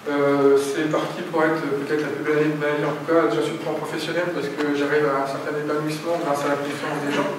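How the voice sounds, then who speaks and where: A young man, a photographer, chatting about his photos and then thanking the guests at his exhibition opening, with many fillers. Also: on the high side. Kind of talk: casual explanation and speech to guests. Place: stone-walled gallery cellar with audience. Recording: echoey room, from far off, noisy.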